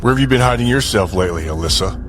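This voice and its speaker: Actor as male territory boss: low voice, authoritative, businesslike, audio drama. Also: lively intonation, very fast, soft background music, lightly acted. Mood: brisk authority.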